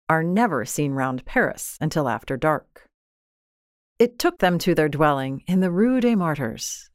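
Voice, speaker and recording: A woman narrating a literary short story in a measured, expressive, scripted style. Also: low-pitched, clear, resonant tone, no echo, studio quality.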